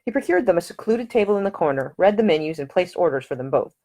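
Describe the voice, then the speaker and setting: A woman author narrating her own novel as an audiobook in a measured, expressive way. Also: fairly low pitch.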